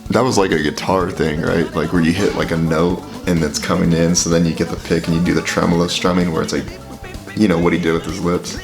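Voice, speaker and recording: A man with a very deep voice giving casual, filler-heavy commentary while reacting to a song. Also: little pitch movement, brisk, gravelly. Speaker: reaction video host. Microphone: headphones with mic. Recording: music playing under the voice. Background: music playing.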